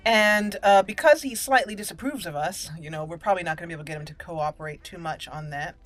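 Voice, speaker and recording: A woman's voice: fairly low pitch, fast. Female let's play host, roleplay narration of a fantasy game, music bed underneath. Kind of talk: roleplay gameplay narration, reading dialogue choices aloud.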